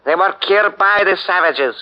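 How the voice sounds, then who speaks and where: An actor playing a brisk, commanding male army officer in a 1940s radio drama, giving curt instructions. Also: sits high, clean tone, close to an everyday voice. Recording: dull old radio broadcast.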